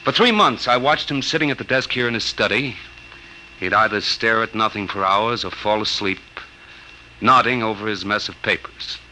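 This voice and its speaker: An actor playing an earnest, polite young American psychology instructor in an old-time radio drama. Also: male voice, fairly low pitch, moderate pace, sharp tone, rough recording. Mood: narrating, matter-of-fact. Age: young adult. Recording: vintage radio recording with hiss.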